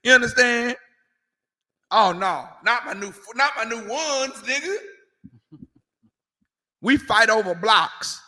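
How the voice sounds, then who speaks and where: High, sharp-voiced man preaching hard. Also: animated, slow pace, pauses often, in a large hall. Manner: emphatic, repetitive, mocking asides. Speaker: street preacher. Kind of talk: confrontational sermon.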